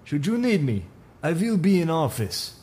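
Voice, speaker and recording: Brief: officious Russian-accented building supervisor, raised pitch, terse and formal, as a man puts him on. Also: very animated, moderate pace, darkish tone. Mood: nervous. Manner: stiff, officious, broken English.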